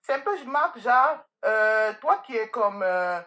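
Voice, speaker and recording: A woman's voice: on the high side, animated, slow pace, a bit bright. Middle aged lady on a podcast, really earnest and emphatic, chatty answers with little stories. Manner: earnest, emphatic, addresses listeners directly. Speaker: podcast guest, beauty salon owner. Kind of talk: conversational interview answers with anecdotes.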